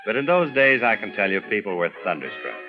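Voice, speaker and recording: A man's voice: fairly low pitch, big pitch swings, brisk. An older American man, a veteran announcer, reading a scripted documentary narration about his profession. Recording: dull vintage recording, music behind. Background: music.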